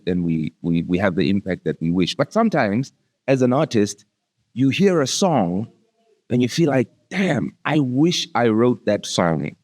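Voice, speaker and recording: Male podcast host, fairly deep voice, dark relaxed tone, casual interview chat, slangy and familiar. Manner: uses slangy, familiar address like "bro".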